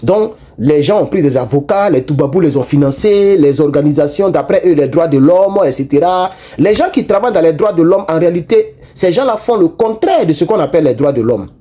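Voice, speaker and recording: A man giving casual political commentary to his online viewers, animated and repetitive, with frequent restarts. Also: fairly high pitch, brisk, few pauses. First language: not French.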